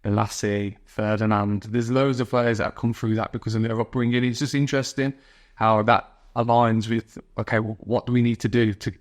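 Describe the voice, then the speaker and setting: A man's voice: on the low side, flat delivery, fairly quick. A man hosting a conversational interview, putting long, meandering, tentatively phrased questions to his guest. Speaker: podcast interviewer, host.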